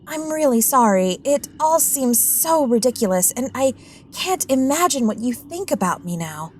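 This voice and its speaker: A woman's voice: high-pitched, big pitch swings, moderate pace, slight background noise. An actress in a radio drama playing a naive, nervous young lady with an anxious manner. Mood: sheepish, apologetic. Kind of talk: immersive radio drama acting.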